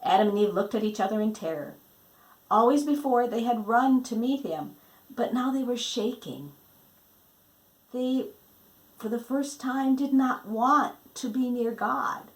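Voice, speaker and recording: A woman reading a children's book aloud in a dramatic, emphatic storytelling manner with pauses. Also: fairly high pitch, low-quality recording. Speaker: storybook reader.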